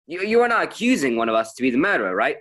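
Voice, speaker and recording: A young man playing a stiff, indignant, formal gentleman in a radio play. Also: fairly high pitch, big pitch swings, very fast.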